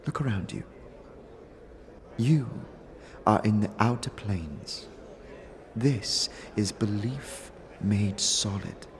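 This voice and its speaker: A man voicing a solemn, aloof mystic noblewoman with formal, measured speech and dramatic pauses. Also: lowish voice. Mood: lofty, didactic.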